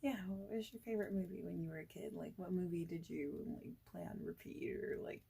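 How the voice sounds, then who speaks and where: This is a woman speaking softly in an informal ASMR interview roleplay. Her voice is very breathy and fairly low, with a dark, relaxed tone. She talks in a casual, hesitant, chatty way and often slips into a whisper. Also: a little fast.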